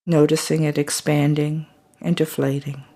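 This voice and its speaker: Soft, slow meditation guide. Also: medium pitch. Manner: slow, soft and calm, trailing into whispers at phrase ends. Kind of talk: guided body scan meditation.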